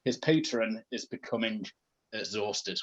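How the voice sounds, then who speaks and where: A man's voice: lowish voice, unhurried, a bit bright, husky, voice pushed down. A man voicing a solemn multiverse spirit who grants requests, speaking in a formal, portentous manner. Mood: grave.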